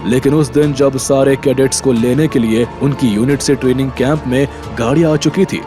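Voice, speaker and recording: A man's voice: medium pitch, steady tone, moderate pace, over music. A man narrating a scripted audio drama in a dramatic storytelling style.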